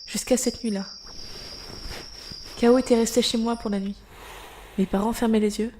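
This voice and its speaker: An actress playing an anxious young mother, telling an eerie tale in measured first-person narration for an audio drama. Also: fairly high pitch, steady tone, a little mellow, slight background noise, close to an everyday voice. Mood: wistful narration.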